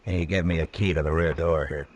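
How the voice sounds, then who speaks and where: Weary frontier lawman, American, deep voice, terse orders, vintage radio drama, light recording noise. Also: steady tone, brisk. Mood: calm, watchful. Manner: terse, commanding. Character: steady, authoritative Old West lawman, grimly weary. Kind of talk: 1950s radio drama acting. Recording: noisy old radio recording.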